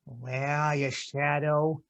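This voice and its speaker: An actor playing a desperate, defiant killer in old-time radio drama style, frantic and taunting. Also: male voice, a little high, little pitch movement, takes it slowly, lo-fi sound. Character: desperate, defiant male killer threatening with a grenade.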